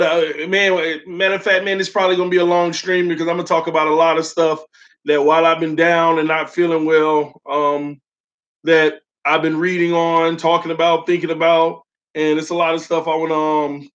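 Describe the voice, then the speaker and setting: Young male stream host, fairly high, flat-voiced, loose and friendly with steady "um" fillers, voice still sore from illness, muffled lo-fi sound. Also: moderate pace. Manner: loose and friendly, heavy "um" fillers, calls viewers "man" and "y'all". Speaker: host of a sports fan livestream. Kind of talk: casual livestream chat, reading viewer comments. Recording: dull lo-fi stream audio.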